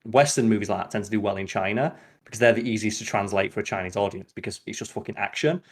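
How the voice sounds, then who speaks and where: A man's voice: lowish voice, steady tone, fast talker. A man chatting casually as a podcast host, blunt and opinionated, with plenty of swearing.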